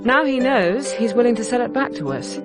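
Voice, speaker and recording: An actress playing a grave, hopeful noblewoman ruler, narrating game cutscenes in a solemn, measured, earnest way. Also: a little high, animated, close to an everyday voice. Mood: earnest, concerned. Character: grave, hopeful noblewoman ruler rebuilding her war-torn land. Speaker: campaign narrator. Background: background music.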